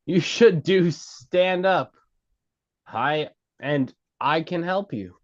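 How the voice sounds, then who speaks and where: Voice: medium pitch, expressive, extremely slow, lo-fi sound. An actor at a script table read playing a blunt, insistent comedy club worker, emphatic and stumbling over lines.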